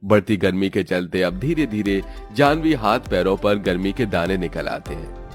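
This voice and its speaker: Man, story narrator, lowish pitch, scripted delivery, soft background music. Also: lively intonation, moderate pace. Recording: music under the voice.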